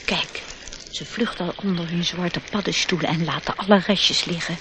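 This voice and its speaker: Performer as nature sprite, radio drama, knowing, disdainful, lecturing, mid-range pitch, faint background noise. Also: steady tone, normal speed, close to an everyday voice. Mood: scornful. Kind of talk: radio play acting.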